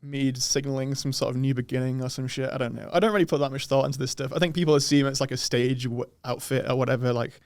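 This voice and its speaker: Man, young adult, softened English accent, rapid speech, dry close recording, relaxed and chatty. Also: medium pitch, dry recording. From United Kingdom. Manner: relaxed, laughs often, swears casually. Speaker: guest. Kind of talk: casual podcast chat.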